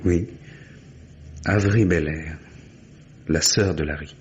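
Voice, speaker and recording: Deep-voiced, troubled client. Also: male voice, takes it slowly. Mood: troubled, halting. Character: troubled, bitter male client confessing to his lawyer. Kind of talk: 1950s French radio play acting. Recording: dull, noisy vintage radio recording.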